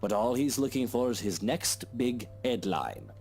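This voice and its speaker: A man doing a sneering drunk husband, lively. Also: mid-range voice, unhurried, soft background music. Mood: jealous. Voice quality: sneering, patronizing drawl. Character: drunk, jealous, condescending husband. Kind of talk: voicing a visual novel character.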